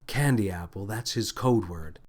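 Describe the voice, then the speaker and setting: A man voicing a gentle, wise female alien officer with a soft, soothing, measured delivery in an audio story. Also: lowish voice. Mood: gentle, grateful. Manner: calm, measured, thoughtful.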